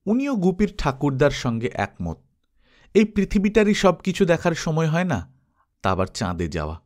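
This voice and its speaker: A man reading a story aloud as an expressive audiobook narrator. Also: fairly high pitch, normal speed.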